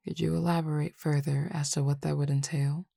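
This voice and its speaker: A woman voicing a composed, formal supernatural cupid roommate who speaks in precise, measured words and teases gently. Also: deep voice, level tone, creaky, no room sound, studio quality, heavily acted. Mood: calm. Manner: precise, formal wording, measured and unhurried, soft asides. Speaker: voice actor in an audio roleplay. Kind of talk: audio roleplay addressed to the listener.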